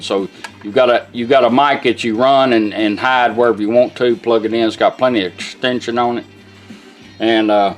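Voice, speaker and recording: A man gives an informal demonstration as a restorer showing off his work. His manner is folksy and unhurried, with a medium-pitched voice. Guitar music plays behind him. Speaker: radio restorer demonstrating a product. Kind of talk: casual product demonstration.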